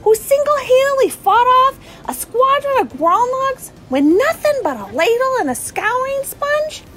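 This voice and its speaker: Very high, coy female arms dealer. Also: big pitch swings, a little slow, fairly bright tone, full character voice, low-quality recording. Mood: coy, curious. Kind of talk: audio drama acting.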